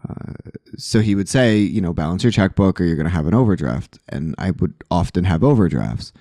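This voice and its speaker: Man, podcast host, very deep, mellow dark tone, candid joking talk, many fillers, dry sound. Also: lively intonation, a little fast, dry recording. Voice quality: low voice. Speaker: podcast host, sober living owner. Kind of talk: casual chat. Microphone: poor microphone, hard to hear.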